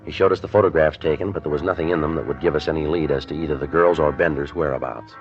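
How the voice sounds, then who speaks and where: A terse police sergeant with a very deep voice and flat, clipped phrasing. He speaks in a crisp, no-nonsense 1950s radio-drama manner. The old broadcast recording sounds dull and lo-fi, with faint music underneath. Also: quick pace. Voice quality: clipped, flat, businesslike delivery. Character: terse, matter-of-fact male police sergeant. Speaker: police detective narrator.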